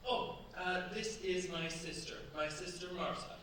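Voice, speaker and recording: A man's voice: sits high, little pitch movement, measured pace, sharp tone. A man acting a frail, melodramatic, lovesick French bridegroom in a staged radio play, florid and flustered. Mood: eager. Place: theatre stage at a cultural centre. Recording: very echoey stage, distant mic, faint noise.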